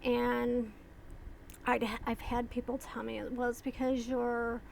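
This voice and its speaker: A woman vlogger talking casually and slowly, rambling with pauses and restarts. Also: fairly high pitch, little pitch movement, vocal fry, darkish tone, a little hiss or hum. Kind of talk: casual talk to camera.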